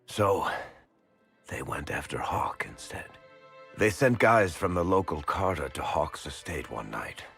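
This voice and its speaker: An actor playing a wry, streetwise rogue who tells stories conversationally in a game cutscene. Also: male voice, rumbling low, animated, measured pace, vocal fry, fairly bright tone, faint music behind, exaggerated voice. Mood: fond, animated. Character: roguish storytelling man, wry, fond, streetwise.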